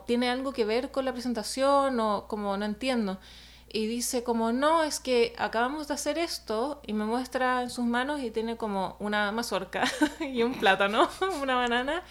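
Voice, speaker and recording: Woman storyteller, slightly high voice, hesitant, lots of fillers, casual chat. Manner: hesitant, many fillers, laughs while telling. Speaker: illustrator telling a story.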